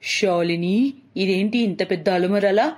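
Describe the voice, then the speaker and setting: A woman voicing a gentle, concerned older mother-in-law in a moral story, speaking in an admonishing manner. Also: mid-range voice, lightly acted. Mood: taken aback. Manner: slow, admonishing.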